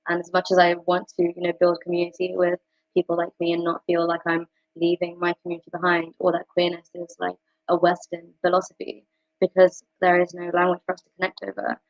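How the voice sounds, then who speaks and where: A British woman in her twenties with a fairly low voice that stays very even. She speaks in an earnest, discursive way, reflecting on personal experience in a panel discussion. The sound is close and dry but dull, like a video call. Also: brisk, no room sound. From United Kingdom. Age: 20s. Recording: muffled lo-fi video call audio.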